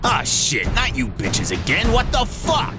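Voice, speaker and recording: A man playing a crude, excitable, sleazy middle-aged business owner in a video game. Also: sits high, a little slow, over loud music, exaggerated voice. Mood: exasperated. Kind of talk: game cutscene acting.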